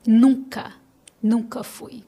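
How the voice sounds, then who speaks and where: Higher-voiced Brazilian woman chatting casually. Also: little pitch movement, extremely slow, mellow, breathy edge. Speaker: podcast guest, business owner. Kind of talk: casual personal conversation.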